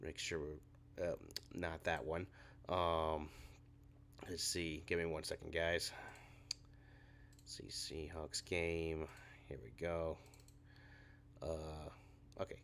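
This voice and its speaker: A man's voice: a bass voice, little pitch movement, slow, long pauses, a bit bright. A young man with a cold hosts a postgame reaction show, his voice a bit scratchy. He rants in frustration and his voice climbs when he gets worked up. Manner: frustrated ranting, rising when angry. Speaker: podcast host.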